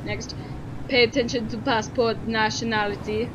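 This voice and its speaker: A woman's voice: a little high, steady tone, normal speed, dull audio, noisy background. A woman co-hosting a casual gameplay video, playfully bossy and reading the on-screen game text aloud. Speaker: gaming video co-host. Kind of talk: casual let's-play chat. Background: game music.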